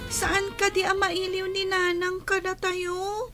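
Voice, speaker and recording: A woman's voice: extremely high pitch, monotone, slow pace, over loud music, heavily acted. A woman acting a plaintive young child who misses a parent, asking questions in a radio drama. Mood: plaintive, wondering.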